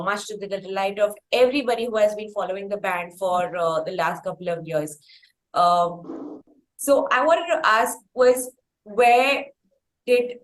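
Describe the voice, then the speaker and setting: An echoey, roomy recording of a woman with an Indian accent. She sits at a medium pitch and interviews conversationally, building long, effusive questions as the host. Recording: roomy, echoing sound.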